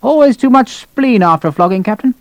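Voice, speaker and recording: A man playing a blustering, high-pitched pompous old-school colonel. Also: expressive, fairly quick, no echo. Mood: irritable, imperious. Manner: barking, imperious. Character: irascible, pompous, aristocratic British army colonel. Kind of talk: audiobook character voice.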